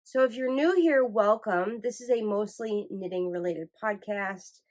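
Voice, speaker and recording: A woman's voice: medium pitch, unhurried, a little mellow. A woman from Michigan hosting a knitting podcast, chatting in a rambling, self-correcting way and sounding nasal from a cold. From Michigan, USA. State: congested from a cold. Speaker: podcast host. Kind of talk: casual chat.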